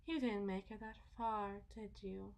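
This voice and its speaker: A woman playing a delusional, condescending, possessive wealthy mother in a theatrical ASMR roleplay, phrasing everything in a drawn-out, deliberate way. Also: medium pitch, fairly even intonation, gravelly, darkish tone. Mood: soothing, menacing. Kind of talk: ASMR roleplay, dramatic monologue.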